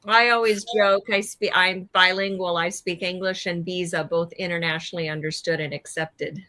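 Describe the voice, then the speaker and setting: A woman's voice: lowish voice, steady tone, moderate pace, sharp tone, no echo. A woman from Canada chatting casually and playfully as a regular on a podcast panel, with emphatic remarks. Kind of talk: casual group chat.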